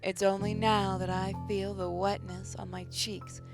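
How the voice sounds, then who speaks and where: A woman's voice: mid-range voice, level tone, unhurried. A woman hosting a gaming video, reading a visual novel aloud expressively, with casual asides. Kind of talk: dramatic first-person story reading with casual asides. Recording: clear game music behind the voice. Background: game music.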